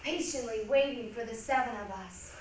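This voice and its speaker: A woman's voice: a little high, normal speed, a crowd in the background. A woman performing in a reader's theatre, reciting a script in a theatrical, declamatory way. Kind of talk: dramatic ensemble stage reading. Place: large echoey room, recorded from a distance. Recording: echoey hall, distant mic, faint noise.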